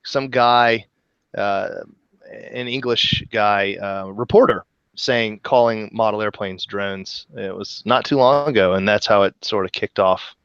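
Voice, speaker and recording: Deep-voiced joker on a dull dry mic. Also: male voice, measured pace, fairly bright tone, clean tone, dry recording. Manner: joking, wry asides. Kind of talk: casual chat.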